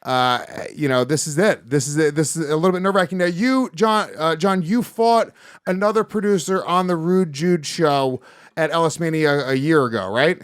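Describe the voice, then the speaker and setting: A man's voice: fairly high pitch, smooth, no room sound. Man hosting a fight hype podcast. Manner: hype announcer, then quick questions. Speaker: podcast host. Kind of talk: casual podcast chat, hosting a fight promo.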